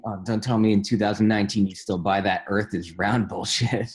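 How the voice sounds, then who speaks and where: A man's voice: on the low side, steady tone, fairly quick, natural voice. A man playing a friendly, cocksure young roommate who earnestly spouts conspiracy theories in a comedy table read, slangy and boastful. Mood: insistent. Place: at home, remote table read.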